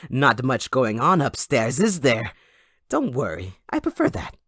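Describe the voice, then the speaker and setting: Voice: medium pitch, huge pitch swings, a little slow, a bit bright, slightly rough, no echo, clean studio sound, heavily acted. A performer voicing a gleeful, sadistic supervillain kidnapper in an audio roleplay, theatrical, mocking and possessive toward a captive listener. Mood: gleeful menace. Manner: theatrical, mocking, giggling, swooping between croon and shriek.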